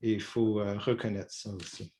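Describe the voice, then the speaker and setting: A man in his sixties from Quebec, as a guest in a relaxed dialogue, hesitating and restarting his phrases often. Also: lowish voice. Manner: halting, many restarts and fillers. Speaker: guest, peace activist. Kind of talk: conversational dialogue.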